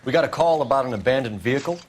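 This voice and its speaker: An actor playing a probing 1940s American police detective in a video game, businesslike, clipped and authoritative. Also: male voice, mid-range voice, expressive, very fast, breathy edge.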